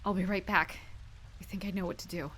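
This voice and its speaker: A woman's voice: fairly low pitch, fairly even intonation, fairly quick, a bit bright, a little hiss or hum, lightly acted. A woman playing a brave, caring young superheroine, speaking earnestly and expressively in an audio drama. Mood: worried.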